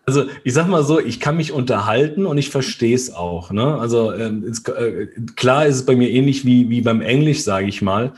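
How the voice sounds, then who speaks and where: A man's voice: mid-range voice, moderate pace, hardly any pauses. A man from Germany, a guest in a casual interview, talking hesitantly with many fillers and tag questions.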